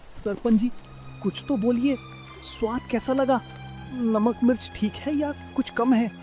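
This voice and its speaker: A man's voice: very high-pitched, steady tone, measured pace, mellow, soft background music. A man playing a humble, hardworking street food seller in a cartoon, polite, earnest and hopeful. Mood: anxious.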